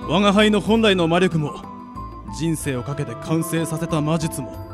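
A man plays a proud, ancient demon lord in an anime-style radio drama. His voice sits fairly high for a male, declaiming in grand archaic phrases, with music clearly under it. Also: moderate pace, close to an everyday voice. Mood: grave, resolute.

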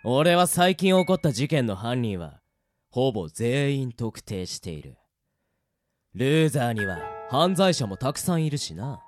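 Male actor as cocky young man, ruthless, sneering, lively intonation, rough talk, audio drama. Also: medium pitch, a little slow, faint music behind. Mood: smug.

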